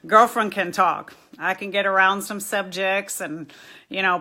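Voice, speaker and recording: A woman's voice: medium pitch, bright, forceful tone. A middle-aged woman, a therapist from New York and Miami, hosting a casual live stream, chatty and poking fun at herself while greeting viewers by name.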